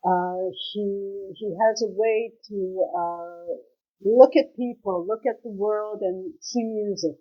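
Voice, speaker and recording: A woman from Israel being interviewed, speaking hesitantly with many pauses. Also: husky. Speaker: guest, mother of a hostage. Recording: muffled low-quality call audio.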